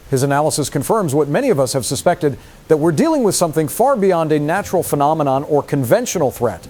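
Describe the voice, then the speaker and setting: A fast-talking, fairly high-voiced survivor acted by a man makes a grim last broadcast in an apocalyptic radio drama. He sounds trapped and urgent, yet formal and articulate. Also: darkish tone, natural voice.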